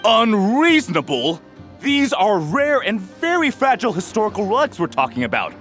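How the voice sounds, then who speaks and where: Fussy young relic vendor, highish lively voice, game cutscene, faint music. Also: male voice, moderate pace, heavily acted. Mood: indignant. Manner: indignant, hesitant when challenged. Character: fussy, earnest young male relic seller. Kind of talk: video game voice acting.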